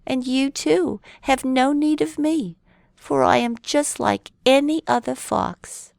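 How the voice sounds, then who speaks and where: Lady doing a gentle coy girl fox for a kids story, high voice, slow n lilting. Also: creaky, no echo, full character voice. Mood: earnest, lilting. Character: gentle, coy female fox seeking a friend. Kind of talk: children's storybook character voice.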